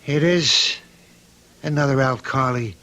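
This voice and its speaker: A middle-aged crime boss and doting father in a radio drama. His voice is very forceful and bright, with a gruff, blustering delivery. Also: mid-range voice, measured pace. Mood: indulgent, uneasy. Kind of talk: old-time radio drama acting.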